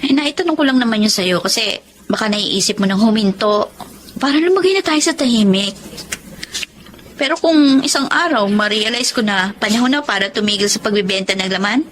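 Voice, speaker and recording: An actress playing a lovelorn young Filipina bar hostess, wistful and defensive, in a radio drama. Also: female voice, medium pitch, fairly quick, a bit bright, a little hiss or hum, lightly acted. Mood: hurt, pleading.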